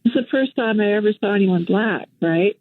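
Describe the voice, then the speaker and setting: A woman's voice: mid-range voice, fairly quick, no echo. An older woman from Western Canada, a guest on a radio show, telling stories from her life in a reflective way with small fillers. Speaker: guest, activist and journalist. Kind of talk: radio interview over the phone. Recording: tinny phone line.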